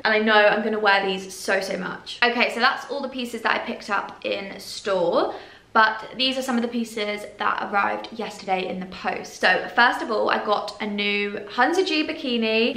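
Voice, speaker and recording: A young British woman in her twenties, chatting enthusiastically to camera in a bright room as she shows clothes. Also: medium pitch, gravelly, echoey room. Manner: gushing and enthusiastic. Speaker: fashion vlogger. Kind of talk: casual clothing haul to camera.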